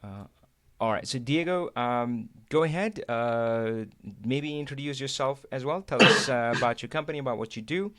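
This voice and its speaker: A man's voice: mid-range voice, normal speed, fairly bright tone, no echo, clean studio sound. A man hosting a live video stream over a video call, upbeat and rambling with many fillers and restarts. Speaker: host.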